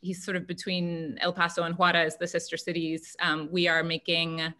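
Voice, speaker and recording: A woman's voice: on the low side, monotone, moderate pace, bright, forceful tone. A woman cookbook author speaking in a chatty way and laughing easily on a video call from home. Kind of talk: panel answer. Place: home room.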